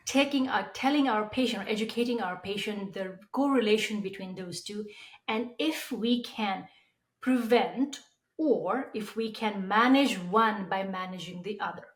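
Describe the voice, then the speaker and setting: A woman from Nepal with a Nepali accent, explaining as an expert guest in an interview. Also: medium pitch. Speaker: periodontist guest. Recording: roomy, echoey sound.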